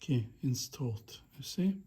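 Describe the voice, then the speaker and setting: A man giving a casual hands-on demonstration, murmuring halting step-by-step remarks as he works, recorded on a phone. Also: mid-range voice, lively intonation, mellow, slightly rough. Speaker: hobbyist demonstrating a tape deck repair. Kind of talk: casual hands-on repair demonstration, talking while working. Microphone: phone held in front of him.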